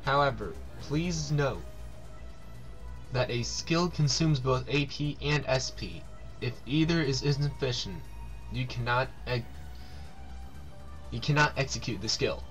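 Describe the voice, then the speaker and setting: A man reading video game tutorial text aloud as a host, a little haltingly. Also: medium pitch, fairly bright tone. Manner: halting reader, stumbles on words. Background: faint game music.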